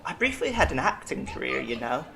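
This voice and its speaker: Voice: mid-range voice, very animated, fairly quick, creaky, crowd noise. An actor playing an eccentric, long-lived alien medic in an audio drama, reflective, kindly and wryly self-deprecating. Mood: wistful, wry.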